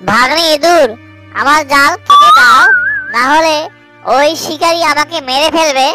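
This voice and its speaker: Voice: high-pitched, wildly swooping pitch, unhurried, sharp tone, faint music behind, full character voice. A performer voicing a sly cartoon red fox who pleads and wheedles. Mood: pleading.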